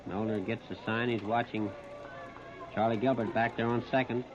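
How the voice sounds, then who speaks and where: An American man calling a baseball game live as a 1930s radio play-by-play announcer, narrating each moment in short, clipped phrases with pauses. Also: mid-range voice, flat delivery. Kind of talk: 1939 live radio sports commentary. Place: outdoor ballpark, broadcast position behind home plate. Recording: muffled, noisy 1930s radio disc recording.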